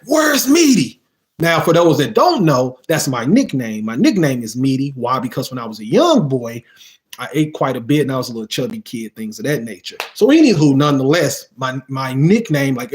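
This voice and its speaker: A young man, telling a childhood story casually to viewers and acting out the quotes. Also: medium pitch. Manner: animated, acts out quotes, asks "you see what I mean?". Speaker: storytime vlogger.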